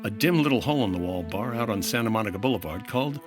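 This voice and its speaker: An actor playing a dry, unflappable hard-boiled insurance investigator in clipped, deadpan 1950s radio detective style. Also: male voice, on the low side, fast talker, music in the background, lightly acted. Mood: dry, matter-of-fact. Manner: terse, deadpan.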